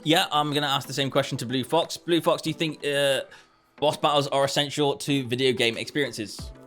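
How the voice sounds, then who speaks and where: A man's voice: mid-range voice, fairly even intonation, fairly quick, fairly bright tone, faint music behind. Chatty man hosting a gaming podcast. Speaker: podcast host. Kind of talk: casual gaming podcast talk.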